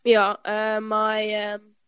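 Slow, hesitant young woman on a video call. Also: medium pitch, monotone, a bit bright. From Norway. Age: 20s. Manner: hesitant, searching for English words, laughs shyly. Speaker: interviewee, sled dog musher. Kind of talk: remote interview conversation.

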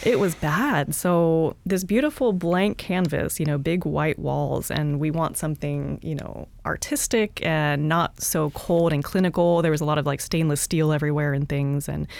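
A woman, an artist and teacher, talking softly and casually in an interview, with lots of fillers and small laughs. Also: sits low, normal speed, creaky, hardly any pauses, no room sound. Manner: soft-spoken, chatty with many fillers and light laughs.

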